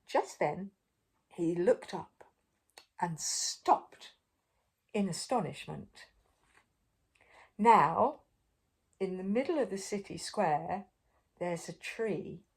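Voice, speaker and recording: An older woman reading a children's story aloud expressively, pausing for drama and putting questions to the listener. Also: lowish voice, lively intonation, breathy edge. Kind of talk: animated read-aloud to young children.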